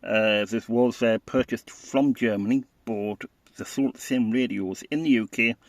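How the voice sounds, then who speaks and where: Man, dry close sound, halting delivery. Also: mid-range voice, no room sound. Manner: halting, unscripted, matter-of-fact. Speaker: hobbyist video presenter. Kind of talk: casual product walkthrough.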